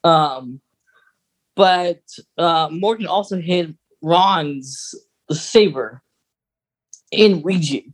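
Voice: mid-range voice, extremely slow, pauses often, husky. A podcast co-host chatting casually and informally, recapping in a conversational way. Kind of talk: casual chat.

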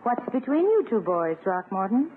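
A woman playing a poised, gently teasing lady courted by rivals in a 1950s radio sitcom, coy and softly probing. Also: a little high, very animated, normal speed, phone-quality sound. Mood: curious. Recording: thin, noisy 1950s radio recording.

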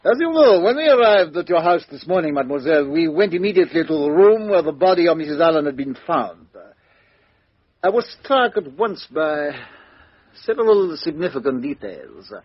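A fussy, precise Belgian detective, his lively voice rising high and then sinking to a near hush. The tone is a little husky and dry, heard through a dull old radio recording. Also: moderate pace, no echo. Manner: precise, theatrical, drops to a hush. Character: fussy middle-aged male Belgian detective. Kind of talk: 1950s radio drama acting.

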